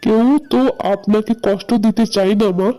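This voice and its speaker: A woman's voice: mid-range voice, flat delivery, moderate pace, lightly acted. A woman voicing a patient adult son in a cartoon, pleading and reasoning to keep the peace. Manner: reasoning, placating. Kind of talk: cartoon voice acting.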